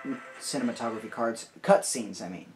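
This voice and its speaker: A video game walkthrough host giving casual, excitable commentary and talking to themself while playing. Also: medium pitch, fairly quick, over music. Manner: excitable exclamations and self-talk while playing.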